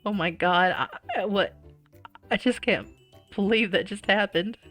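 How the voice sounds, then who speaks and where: A woman playing through a visual novel, reading aloud and reacting in an expressive, exclaiming way. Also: medium pitch, measured pace, faint music behind. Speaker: gaming streamer.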